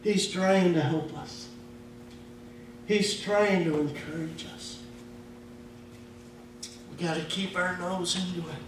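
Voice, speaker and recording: A male pastor with a Midwestern American accent preaching a sermon in a church, slow and emphatic with casual grammar. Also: sits high, fairly even intonation. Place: church sanctuary. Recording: echoey church from far off, faint hum.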